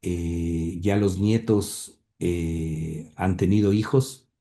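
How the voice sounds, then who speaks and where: Man, low dark mellow voice; earnest, hesitant interviewee with lots of fillers. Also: fairly even intonation. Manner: halting, many fillers and repeated words; earnest, heartfelt. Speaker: interview guest, grandson and author. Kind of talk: conversational interview, reading quotes aloud.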